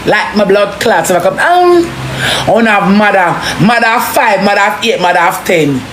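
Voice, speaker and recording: A woman with a Jamaican accent in an impassioned, forceful street-style rant, cursing heavily and repeating phrases for emphasis. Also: mid-range voice, animated, moderate pace. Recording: roomy echo, clearly noisy background.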